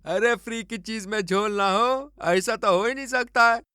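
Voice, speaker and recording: A man's voice: high voice, normal speed, sharp tone, clear, resonant tone, no room sound, studio quality, voice pushed up. A man voicing a poor, suspicious village man who questions in a plaintive, challenging way in a story cartoon.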